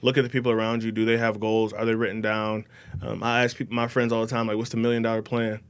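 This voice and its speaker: A man's voice: on the low side, level tone, quick pace, smooth. A man in his thirties, a guest on a podcast, talking casually in a confident, emphatic, motivational way. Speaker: podcast guest, mortgage banker. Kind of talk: casual interview talk.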